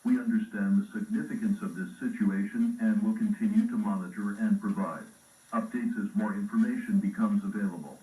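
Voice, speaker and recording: A man voicing a formal, impersonal emergency broadcast announcer, reading an official alert in a measured, stern way. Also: lowish voice, warm tone, lightly acted. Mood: grave, official. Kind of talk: formal scripted public alert. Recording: roomy, distant, faintly noisy broadcast playback.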